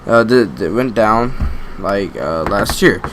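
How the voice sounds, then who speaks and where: A man's voice: on the low side, animated, slow, noisy background. A young man telling a story casually as a gaming creator, rambling and slangy, often swearing and interrupting himself. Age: young adult. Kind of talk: casual storytelling over gameplay.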